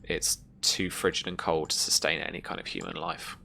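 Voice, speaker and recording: A woman narrating a tabletop sci-fi horror game as game master, halting as if searching through notes. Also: a bass voice, level tone, vocal fry, pushed and bright, a little hiss or hum.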